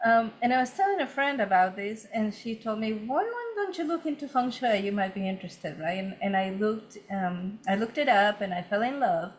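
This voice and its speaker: A woman's voice: mid-range voice, animated, moderate pace, few pauses. A woman from Ecuador, a feng shui consultant, telling her story earnestly in a conversational interview with many fillers and restarts.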